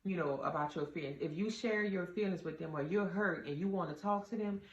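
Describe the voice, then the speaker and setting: A woman with a deep voice gives casual, straight-talking relationship advice to her viewers, calling them y'all with earnest conviction. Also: a little fast. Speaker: vlogger giving relationship advice. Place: indoor room with a door. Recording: roomy, slightly dull, faint ambient hum.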